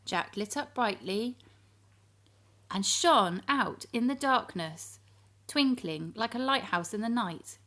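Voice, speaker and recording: A woman reading a storybook to young children in an animated, expressive manner, addressing her listeners directly. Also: mid-range voice, slow.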